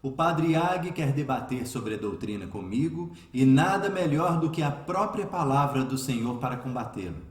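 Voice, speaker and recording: A man playing a calm, devout, reasonable schoolteacher, speaking earnestly in a religious radio drama. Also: medium pitch, natural voice. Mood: calm, resolute. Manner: measured, serene, earnest. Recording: echoey room.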